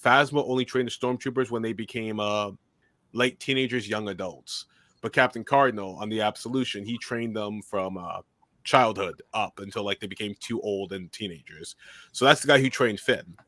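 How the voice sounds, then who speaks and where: A man speaks in a flat, monotone way, his voice sitting a little low. The recording is dry and close, with no room sound around him. He answers interview questions in a relaxed, conversational manner. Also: moderate pace, dry recording. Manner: monotone, steady delivery. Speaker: guest filmmaker and commentator.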